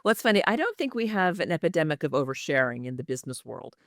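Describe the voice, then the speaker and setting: A woman's voice: low-pitched, sing-song, fast talker, dry recording, studio quality. A woman who is an executive communication coach speaks expressively and emphatically in a podcast interview, with the practiced delivery of a trained actress.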